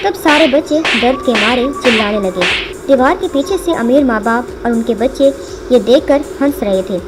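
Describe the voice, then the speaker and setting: A woman's voice: on the high side, lively intonation, normal speed. A woman reading scripted narration for a children's story as its narrator. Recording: music behind the voice. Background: music.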